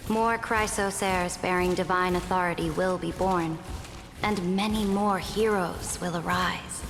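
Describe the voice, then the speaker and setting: A proud, resolute female imperator played by an actress speaks with measured authority. Her formal game dialogue rings in a long reverb, with music clearly behind it. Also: medium pitch, natural voice.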